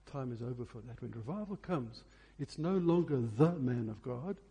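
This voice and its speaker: A male preacher delivers a sermon in a dark, mellow voice with a husky grain. His pitch sits in the middle range. He phrases emphatically and deliberately, repeating key words to drive them home. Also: animated.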